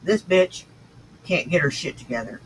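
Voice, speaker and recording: A man's voice: on the high side, lively intonation, moderate pace, slight background noise, poor audio. A man vlogging in a casual, ranting monologue, blunt, profane and scornful.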